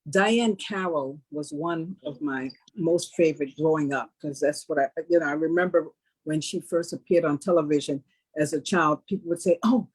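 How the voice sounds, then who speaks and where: An older woman speaking as an interviewed actress in a casual panel conversation, laying out her points in a measured, affirming way. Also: low-pitched, darkish tone, breathy edge, low-quality recording. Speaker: stage actress interviewed about a play.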